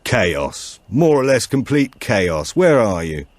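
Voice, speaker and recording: A man's voice: on the low side, very animated, takes it slowly, no room sound, voice pushed up, full character voice. A man voicing a harried, businesslike police dispatcher with clipped speech in an audiobook.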